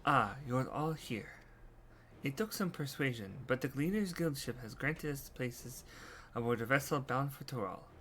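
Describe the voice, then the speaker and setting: Stoic male guide for fantasy game played by a male fan dubber, formal, reserved, bright tone. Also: mid-range voice, lively intonation, measured pace, a little hiss or hum. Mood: measured, reserved. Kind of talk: scripted game dialogue read-through.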